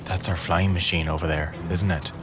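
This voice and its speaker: An actor playing a world-weary, level-headed wartime soldier in a game, plain-spoken and dry, with a Newfoundland accent. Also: male voice, sits low, steady tone, moderate pace, lightly acted. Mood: wary. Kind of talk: dramatic game acting with reflective narration. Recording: music behind the voice.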